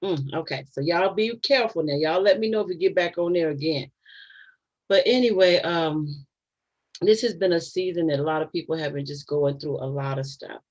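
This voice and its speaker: A woman's voice: deep voice, normal speed, darkish tone, lo-fi sound. A woman in her fifties hosting a casual livestream from home, chatty and given to laughing asides to her viewers. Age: 50s. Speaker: channel host.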